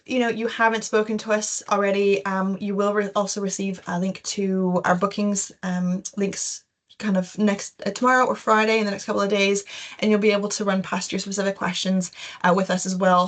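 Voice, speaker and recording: A woman's voice: medium pitch, level tone, brisk. Canadian woman running a casual webinar, chatty, loose wording, mid-range voice. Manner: chatty, informal, loosely phrased. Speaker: webinar host, education recruitment consultant. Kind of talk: informal webinar presentation.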